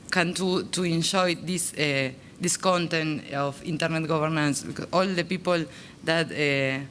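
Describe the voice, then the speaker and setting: A woman from Argentina, a native Spanish speaker, speaking on a panel in halting English with many pauses. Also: low-pitched, fairly bright tone, slight background noise. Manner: halting, many fillers and restarts. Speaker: panelist, translator. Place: conference meeting room.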